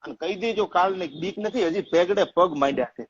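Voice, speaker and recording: A man's voice: sits high, brisk, muffled recording. A man speaking informally and emphatically, breaking into recited verse.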